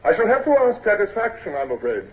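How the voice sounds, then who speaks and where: A man's voice: high voice, very animated, fast talker, slight background noise. An actor playing a solemn, stubborn young naval midshipman in an old radio drama, stiff, polite and a little hesitant. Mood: stiff, offended. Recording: muffled old radio recording.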